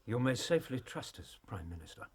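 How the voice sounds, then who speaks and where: Husky, courteous doctor. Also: male voice, mid-range voice, sing-song, brisk. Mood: attentive. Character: courteous, attentive male doctor, detective's companion. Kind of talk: British period detective drama acting.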